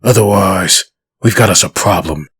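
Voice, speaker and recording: A man voicing a menacing undead antihero in a comic dub, coldly threatening in a rough voice. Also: deep voice, very noisy, close to an everyday voice. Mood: cold menace. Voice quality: husky, rough voice. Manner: slow, quiet menace.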